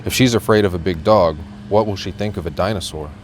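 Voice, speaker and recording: A man's voice: low-pitched, fast, slight background noise, natural voice. A man voicing a friendly, anxious young boy in a children's storybook, in simple dialogue. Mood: worried.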